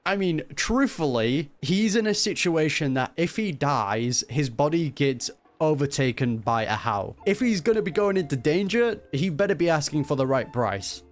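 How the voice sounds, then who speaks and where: A man's voice: a little high, animated, moderate pace. A man giving casual, wry reaction commentary as he plays a video game. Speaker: gaming reaction streamer. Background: game music.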